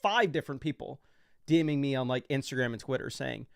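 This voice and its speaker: A man's voice: mid-range voice, expressive, a little fast, gravelly, no room sound, clean studio sound. A young man hosting a fantasy football livestream, talking loosely and casually with lots of fillers as he explains his picks.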